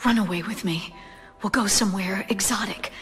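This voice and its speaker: A woman's voice: medium pitch, moderate pace, fairly bright tone, lightly acted. Seductive defiant femme fatale played by a woman, reverberant. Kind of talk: video game cutscene acting. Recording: long reverb, music behind.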